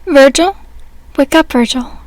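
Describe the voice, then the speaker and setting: A gentle, loving grandmother from a storybook, as a woman reader puts her on. She coaxes softly in a fairly high voice, and the sound is dry and close. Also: measured pace, dry recording. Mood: calling. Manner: gentle, coaxing calls.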